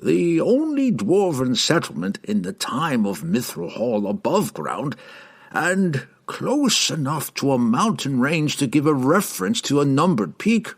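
A man voicing a wise, learned old herald in a fantasy audiobook, explaining things in a knowing, deliberate way. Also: mid-range voice, big pitch swings, breathy edge, voice pushed up, full character voice. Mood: scholarly.

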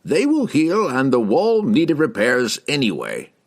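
A man voicing an excitable, fussy old wizard scholar. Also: medium pitch, a little slow, voice pushed up, heavily acted. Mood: eager.

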